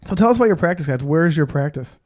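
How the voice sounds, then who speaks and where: Fast-talking joking male podcast host. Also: on the high side, animated, darkish tone. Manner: joking, teasing. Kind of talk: casual podcast interview.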